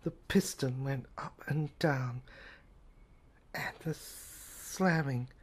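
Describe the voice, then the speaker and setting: A woman narrating an audio play in an intimate, hushed way, halting phrase by phrase. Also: a bass voice, husky.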